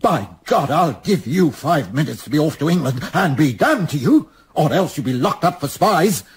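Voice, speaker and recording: A man's voice: on the high side, sing-song, moderate pace, slightly rough, exaggerated voice. An actor in a radio play playing an exposed middle-aged traitor, a father who blusters with old-fashioned curses and falters when cornered. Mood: indignant, blustering. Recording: faint background noise.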